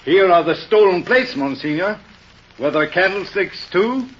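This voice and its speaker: Male officious gendarme: highish, swooping pitch, brusque and formal, old radio drama, noisy dull recording. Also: lively intonation, a little slow, fairly bright tone. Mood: eager, puzzled. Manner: barking, deferential to superiors. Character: officious, brusque police officer guarding a prisoner. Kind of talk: 1930s radio drama acting.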